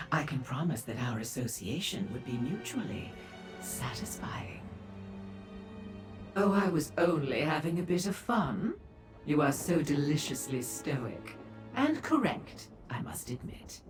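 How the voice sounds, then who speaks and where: A woman's voice: low-pitched, sing-song, unhurried, over music. A woman voicing a sly, seductive and haughty female demon dealer in a fantasy video game, with a teasing manner. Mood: flirtatious, teasing.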